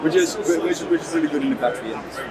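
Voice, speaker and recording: A man in his thirties explains a product at an exhibition stand, his voice a touch high. He trips over and repeats his words as he goes. The recording carries heavy noise from a big indoor hall. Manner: halting, many repeated word restarts. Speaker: company representative. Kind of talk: product demonstration at a trade stand.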